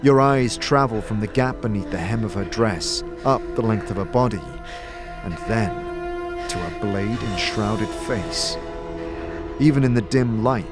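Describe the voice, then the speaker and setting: A man narrating a fantasy audio drama as game master, in deliberate, suspenseful scripted storytelling. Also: on the low side, loud music behind the voice.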